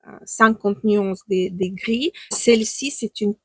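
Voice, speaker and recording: A young woman with a Ukrainian accent, chatting casually about eyeshadow palettes on her beauty channel, pausing often with "euh" and restarting her sentences. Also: mid-range voice, fairly even intonation, fairly bright tone, husky, no room sound. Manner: chatty, with frequent hesitations and restarts. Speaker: beauty vlogger. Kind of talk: casual makeup review.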